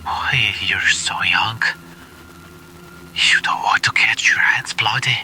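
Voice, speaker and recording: A man's voice: on the low side, slow, pushed and bright, whispered, soft background music, exaggerated voice, low-quality recording. A man playing a terrified victim begging for mercy in an audio drama, pleading, stammering and whimpering.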